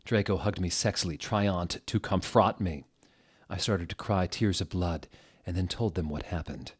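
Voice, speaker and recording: Male narrator, lowish voice, mock-dramatic and deadpan delivery of scripted prose. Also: normal speed, breathy edge. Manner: deadpan, reads typos and punctuation aloud. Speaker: host reading fan fiction aloud.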